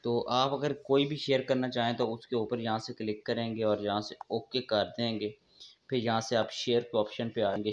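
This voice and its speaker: A man's voice: fairly low pitch, slight background noise. A man hosting a tech tips channel, narrating a casual step-by-step app tutorial to his viewers. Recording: dull, lo-fi voice-over recording.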